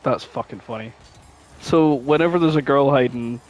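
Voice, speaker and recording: A man's voice: mid-range voice, moderate pace, a little mellow, low-quality recording. A man co-hosting a podcast in casual, informal chat with plenty of swearing. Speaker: podcast co-host.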